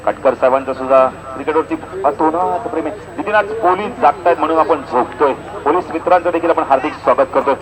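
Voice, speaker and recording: A man giving live play-by-play cricket commentary in an animated announcer's delivery, with punchy stock phrases. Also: on the high side, fast talker, hardly any pauses, rough recording. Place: outdoor cricket ground. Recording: outdoor event PA with crowd noise. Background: crowd and outdoor event noise.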